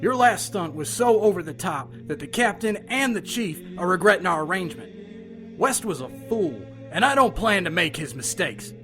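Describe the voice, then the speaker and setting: A man's voice: sits high, animated, normal speed, a bit bright, faint music behind. A man playing an irritated, guarded police lieutenant in an audio drama. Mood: guarded, dismissive. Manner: irritated, dismissive.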